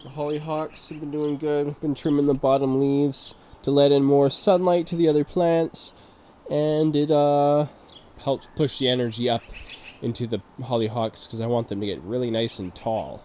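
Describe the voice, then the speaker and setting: A young man with a stuffed-up voice from a cold, giving a relaxed, rambling tour of his backyard garden on a handheld camera. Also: medium pitch, moderate pace, a little hiss or hum. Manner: relaxed, rambling, easily amazed. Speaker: gardening channel host. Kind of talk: casual garden tour vlog. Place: backyard garden outdoors at dusk. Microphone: handheld camera mic, filming himself walking.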